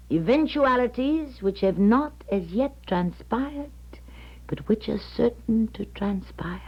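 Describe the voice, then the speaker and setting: An actress playing a grand, weary older clairvoyant in a radio drama, speaking in a measured, solemn, theatrical way. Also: female voice, mid-range voice. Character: grand, weary clairvoyant woman recalling her gift. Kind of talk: 1970s radio drama acting and narration. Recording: muffled 1970s radio broadcast, music behind.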